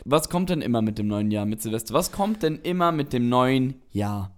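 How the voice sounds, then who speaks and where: A man's voice: mid-range voice, lively intonation, moderate pace. A young man co-hosting a casual podcast, joking around in a playful, silly way. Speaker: podcast co-host. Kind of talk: casual chat.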